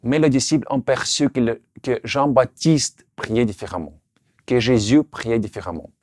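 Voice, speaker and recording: A man who speaks French, his language, giving an earnest, measured sermon. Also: mid-range voice, darkish tone, no echo, clean studio sound. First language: Russian. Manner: earnest, measured, slight restarts on words. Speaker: preacher.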